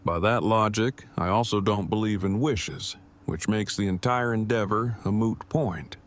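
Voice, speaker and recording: A man's voice: on the low side, unhurried, close to an everyday voice. A man acting in an audio drama as a formal, precise man in his thirties who speaks in long, pedantic phrases and is devoted to his partner. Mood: earnest, precise.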